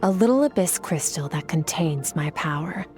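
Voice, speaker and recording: A woman's voice: deep voice, lively intonation, normal speed, darkish tone, soft background music. A woman voicing a cool-headed, aloof mentor in a video game, who speaks in a curt, businesslike way. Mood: explaining.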